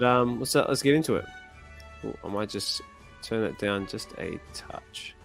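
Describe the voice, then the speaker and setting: A man with a slightly hoarse voice hosting a comic reading stream, chatting casually and rambling with lots of fillers. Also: lowish voice, fairly bright tone. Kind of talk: casual chat.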